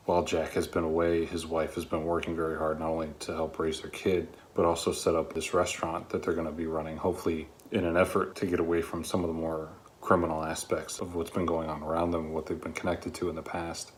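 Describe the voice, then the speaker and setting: A man giving a spoken review of a script in an earnest, reflective way with measured phrasing. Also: deep voice, slight background noise.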